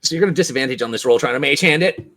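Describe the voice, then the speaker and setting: A man acting as game master, narrating and refereeing a tabletop game in a suspenseful, drawn-out way. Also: mid-range voice, bright, forceful tone.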